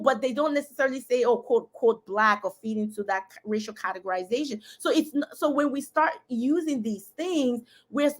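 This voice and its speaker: Animated emphatic woman host. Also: fairly high pitch. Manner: animated, emphatic, laughs often, addresses audience as y'all. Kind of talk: casual live-stream discussion.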